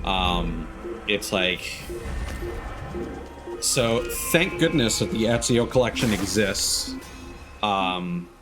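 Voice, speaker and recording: A low-pitched man chats casually on a gaming livestream. He rambles and halts as he finds his thoughts, with loose, sweary phrasing. Music plays clearly behind his voice. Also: animated, very slow, pauses often. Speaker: game streamer.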